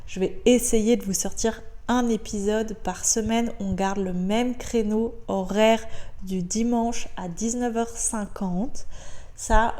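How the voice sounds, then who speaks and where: Woman, French accent, solo podcast host; warm, mellow tone, chatty and upbeat, lots of filler sounds. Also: mid-range voice. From Belgium. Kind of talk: casual solo podcast monologue. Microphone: podcast microphone.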